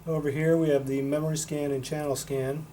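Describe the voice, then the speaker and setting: A man's voice: fairly high pitch, little pitch movement, a little fast, mellow, poor audio. A man giving a casual, unscripted product demonstration in a matter-of-fact, explanatory way. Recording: noticeable background noise.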